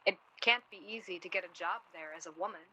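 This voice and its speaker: Outspoken, self-deprecating young city woman for radio drama, bright forward voice, wry delivery, performed by a woman. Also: on the low side, quick pace, husky, rough recording. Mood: curious, wry. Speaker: actor in a radio play. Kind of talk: naturalistic radio drama acting.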